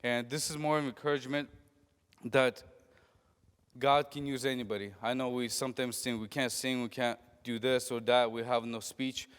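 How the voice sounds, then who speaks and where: A man's voice: medium pitch, fairly even intonation, normal speed, a bit bright, clean tone. A man encouraging a church youth group and introducing a guest speaker, with fillers and restarts. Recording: long church hall reverb.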